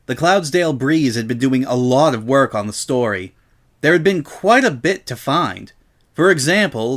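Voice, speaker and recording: Male narrator reading a comic story. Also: medium pitch.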